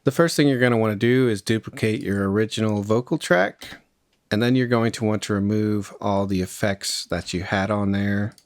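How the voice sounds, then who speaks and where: A man's voice: fairly low pitch, normal speed, no echo. A man presenting a music production tutorial in a casual, conversational, step-by-step way. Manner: informal, conversational instruction.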